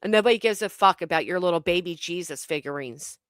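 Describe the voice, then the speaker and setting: A woman's voice: fairly low pitch, quick pace, no room sound, studio quality. Blunt, scornful woman commentator. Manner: blunt, scornful, swears freely. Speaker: commentary channel host. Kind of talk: casual reaction commentary.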